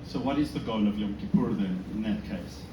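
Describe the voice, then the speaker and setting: A man's voice: lowish voice, a little fast. South African man speaking in an echoey room. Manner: self-deprecating, conversational, rhetorical questions. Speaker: speaker giving a talk at a synagogue. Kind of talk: informal religious talk to an audience. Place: indoors in a synagogue, before an audience. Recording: echoey room, distant mic, noisy background.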